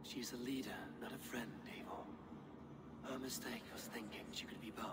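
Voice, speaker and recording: A male actor performs a stoic Viking warrior from a video game. The voice sits at a middle pitch, measured, grave and plain-spoken, with very loud ambience around it. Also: fairly even intonation, very noisy, close to an everyday voice. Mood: quiet, reflective. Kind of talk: dramatic video game cutscene acting.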